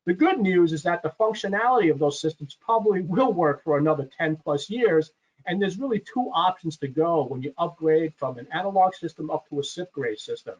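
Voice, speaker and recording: Guy with a slightly high voice walking thru a product webinar, explains stuff casually, muffled lo-fi sound. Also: animated, brisk. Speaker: webinar presenter. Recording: dull, lo-fi webinar audio.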